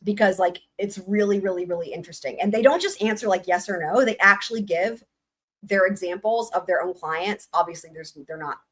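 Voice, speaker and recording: A woman hosting a casual livestream, excited and rambling, her voice going hoarse. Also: medium pitch, fairly quick. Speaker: livestream host.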